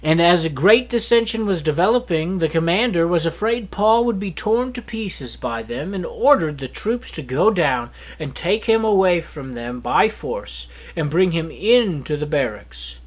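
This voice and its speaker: Male scripture reader, earnest and steady, lively intonation, occasional self-corrections. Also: a little high, unhurried, few pauses. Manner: steady, earnest reading with small slips and restarts. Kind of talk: reading a Bible chapter aloud.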